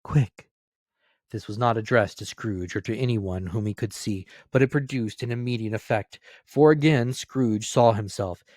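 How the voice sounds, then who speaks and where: A man's voice: on the low side, moderate pace, hardly any pauses, a little mellow, no echo, clean studio sound. A man narrating a classic story as a dramatic scripted reading. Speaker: narrator, reader.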